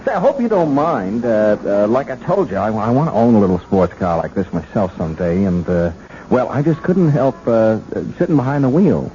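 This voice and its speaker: Dull hissy radio recording, stammering over-friendly policeman, mellow voice, folksy, quietly shrewd. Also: lowish voice, sing-song, moderate pace. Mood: sheepish, apologetic. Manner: hesitant, stammering, folksy, falsely apologetic. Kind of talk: 1970s radio drama acting.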